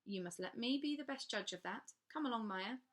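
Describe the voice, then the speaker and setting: A woman's voice: fairly high pitch, lively intonation, a little fast, a bit bright, pitched above the performer's own voice. A woman playing a stern old governess. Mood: firm. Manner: firm, commanding, precise. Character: stern older female governess.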